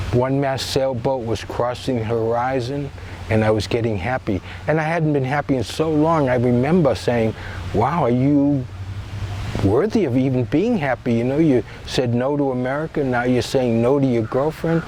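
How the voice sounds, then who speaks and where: A man's voice: medium pitch, darkish tone, slight background noise, poor audio. Older man with a Boston accent. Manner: conversational, wry, with many "uh" pauses. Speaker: storyteller. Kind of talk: personal storytelling.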